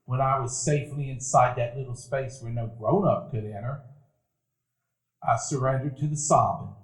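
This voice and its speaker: A man narrating a stage radio play as a grown man recalling his boyhood, in a measured, reflective telling. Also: mid-range voice, lightly acted. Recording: roomy event hall.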